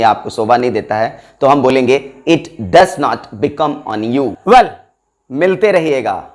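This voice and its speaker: A man with an Indian accent teaching spoken English, explaining quickly and then saying each example sentence slowly and carefully. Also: mid-range voice.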